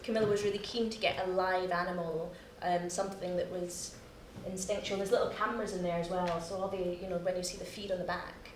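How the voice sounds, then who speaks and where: A woman's voice: on the low side, steady tone, fast, hardly any pauses. Scottish woman chatting in an echoey room. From Scotland. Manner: chatty, self-mocking, laughs often. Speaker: playwright. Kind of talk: post-show discussion. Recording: echoey room, distant mic, noisy.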